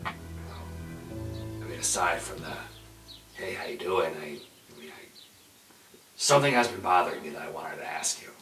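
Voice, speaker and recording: A man's voice: a bass voice, expressive, normal speed, long pauses, a bit bright. A man speaking casually as a player in an improvised tabletop roleplay. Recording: echoey, distant mic, faint music behind.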